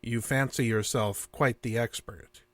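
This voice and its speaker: An actor playing a calm alien interrogator in an audio drama, speaking in formal, clinical, repetitive phrases. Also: male voice, mid-range voice, a little slow, no room sound, natural voice. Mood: probing, calm.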